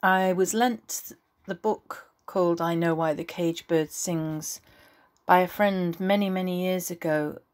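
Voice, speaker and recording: A woman reads and introduces poetry at a slow pace. Her voice is a little low, and she pauses between short phrases. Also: steady tone. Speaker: poetry reader. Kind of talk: poetry reading with personal introduction.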